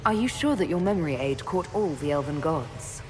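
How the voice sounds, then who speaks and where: A woman's voice: sits low, animated, a little fast, a little mellow. An actress playing a serious, principled female warrior in a video game, direct and earnest in her speech. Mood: questioning. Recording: reverberant space, faint ambient noise.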